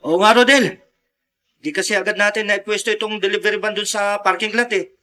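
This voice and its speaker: A man's voice: high-pitched, fairly quick, bright, forceful tone. A man playing a delivery van helper with a Filipino accent in a radio drama. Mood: sleepy, casual. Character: male van helper, sleepy then frantic.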